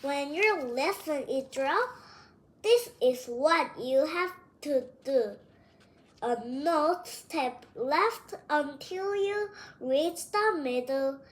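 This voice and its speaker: A child reading a children's storybook aloud, halting word by word and stumbling over some of the words. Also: sits high, expressive. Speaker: young reader.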